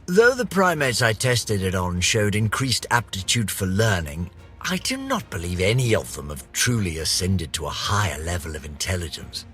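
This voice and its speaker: An eccentric British gentleman scientist for a video game, vain and florid. His slightly low-pitched voice swings expressively as he recites his journal with theatrical pomp and eager self-importance. Music plays behind him. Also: big pitch swings, normal speed. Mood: disappointed. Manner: pompous, florid, theatrical, precisely worded. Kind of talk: dramatic narrated journal entries for a video game.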